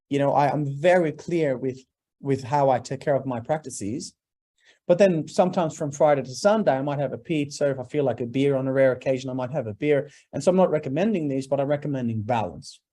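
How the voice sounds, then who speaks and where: A man's voice: on the high side, dark tone. A man in his forties from Norway, a guest in an interview, talking earnestly and preacherly in long emphatic lists. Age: 40s. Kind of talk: interview, motivational talk.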